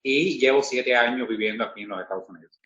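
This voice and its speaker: A man in his fifties from Cuba with a Cuban accent, an interviewee speaking calmly and plainly. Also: medium pitch, fast talker. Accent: Cuban Spanish. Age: 50s. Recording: roomy, dull sound.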